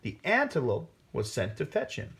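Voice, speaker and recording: A man's voice: medium pitch, wildly swooping pitch, moderate pace, gravelly, a bit bright. A man reading a children's folk tale aloud expressively, theatrical and playful with sound words. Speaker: storyteller.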